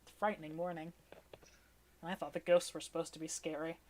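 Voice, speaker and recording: A woman's voice: sits low, level tone, fast talker, darkish tone. A woman running a tabletop game as game master, narrating casually and off the cuff with informal, wry phrasing.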